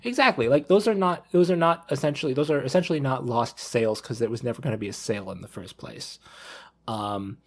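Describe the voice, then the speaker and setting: Male, 30, mid-pitched, casual panelist who circles back and rewords as he goes. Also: brisk. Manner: rambling, repeats and rephrases himself. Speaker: podcast panelist.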